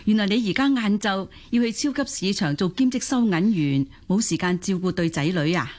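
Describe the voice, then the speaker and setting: A woman's voice: medium pitch, fairly quick, lightly acted. An actress playing an understanding elderly mother-in-law with a Hong Kong Cantonese accent, sighing and reassuring in radio drama style. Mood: concerned, probing. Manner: sighing, gently chiding, reassuring.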